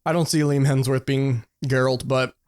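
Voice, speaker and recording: A man with an average-pitched voice chats as a podcast co-host. His delivery is informal and slangy, with swearing mixed into loosely hedged opinions. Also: fairly even intonation, normal speed, a little mellow, smooth. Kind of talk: casual podcast chat about movies.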